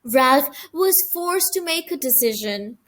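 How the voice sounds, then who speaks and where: High, animated woman reading a story. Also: measured pace. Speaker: audiobook reader. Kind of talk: expressive children's story narration.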